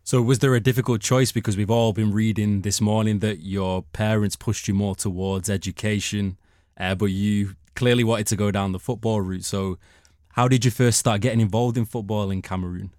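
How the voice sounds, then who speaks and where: A man's voice: fairly low pitch, fairly even intonation, moderate pace, darkish tone, no echo, clean studio sound. A male interviewer asking conversational questions and dropping in "you know" as he talks.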